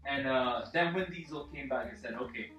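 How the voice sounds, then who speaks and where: A man's voice: mid-range voice, moderate pace, a bit bright, distant mic. A man chatting casually about films as a panelist, joking and swearing freely. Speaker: panelist on a film review. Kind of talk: casual chat, opinionated film talk. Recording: echoey room, off mic, music behind.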